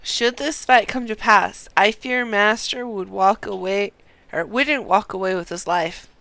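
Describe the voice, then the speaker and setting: A woman's voice: medium pitch, unhurried, gravelly, sharp tone, dry recording. A woman voicing an earnest male refugee fighter from a video game, solemn and pleading in his devotion to his master.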